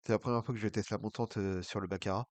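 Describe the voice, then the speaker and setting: Low, flat-voiced man with a stuffy nose. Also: fast, no echo. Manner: mutters half to himself while clicking through bets. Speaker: online casino streamer. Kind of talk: casual play-by-play of an online baccarat session.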